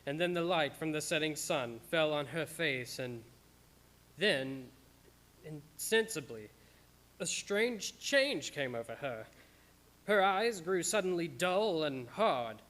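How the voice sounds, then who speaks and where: A man's voice: a little high, animated, slow pace, fairly bright tone. An actor playing an anguished, devoted doctor who narrates from his journals in an old-time radio drama style. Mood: grave, narrating. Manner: stammering when distressed, dramatic.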